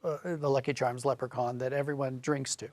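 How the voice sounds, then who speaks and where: A man's voice: medium pitch, level tone, fairly quick, darkish tone, no room sound. A man giving thoughtful, explanatory answers as a conversational interview guest. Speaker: interview guest, audio drama writer.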